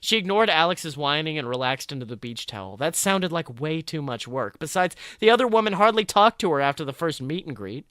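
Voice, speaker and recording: A man reading a story aloud expressively, with an animated delivery. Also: on the high side, a little fast, hardly any pauses, no echo. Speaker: narrator reading fan fiction.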